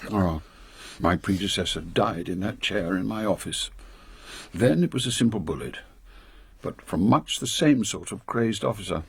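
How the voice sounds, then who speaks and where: An actor playing an authoritative older British spy chief, brisk and ruthless, with clipped, formal delivery in a radio drama. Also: male voice, low voice, expressive, creaky, breathy edge, no echo, full character voice. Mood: grave, resolute.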